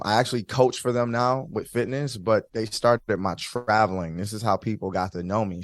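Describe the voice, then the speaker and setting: A chatty young man from Detroit talks as an interview guest in a dry, close recording. His voice sits low, and he repeats himself and laughs easily as he tells his stories. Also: no room sound. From Detroit, Michigan. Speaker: guest, DJ and fitness coach. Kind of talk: casual interview chat.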